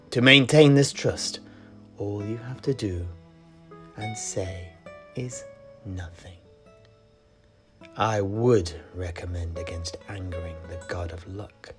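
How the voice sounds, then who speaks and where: A grave old-world tavern keeper acted by a man tells his fantasy tale in a deep voice. He speaks very slowly and deliberately, confiding and often hushed, with music playing behind him. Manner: measured, deliberate, hushed at phrase ends. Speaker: storyteller, host of in-universe chat. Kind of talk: in-character fantasy storytelling.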